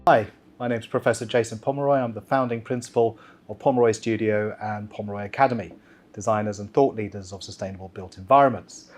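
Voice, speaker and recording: A man's voice: fairly low pitch, fast talker. A man hosting a university podcast interview, speaking formally and full of praise for his guest. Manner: formal, effusive. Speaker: podcast host, professor.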